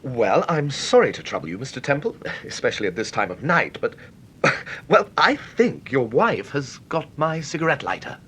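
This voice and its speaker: An actor playing a polite, hesitant, apologetic widower in a British radio mystery, with a British accent, on an old radio recording. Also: male voice, very animated, normal speed, a bit bright. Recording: noisy old radio recording, low rumble.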